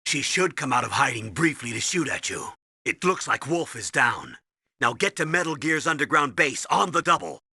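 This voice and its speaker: An actor playing a stern, urgent military colonel who gives mission orders in a commanding, clipped way in a video game. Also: male voice, mid-range voice, lively intonation, normal speed, pushed and bright, slightly rough, dry recording, studio quality, heavily acted. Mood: grave, insistent. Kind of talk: dramatic video game radio briefing.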